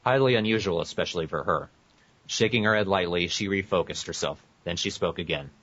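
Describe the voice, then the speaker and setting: A very low-pitched man reads fan fiction aloud in a casual podcast style, stumbling over words and correcting himself. The recording is dull and lo-fi. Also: a little fast, fairly bright tone. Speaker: podcast co-host. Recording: muffled, echoey lo-fi podcast audio.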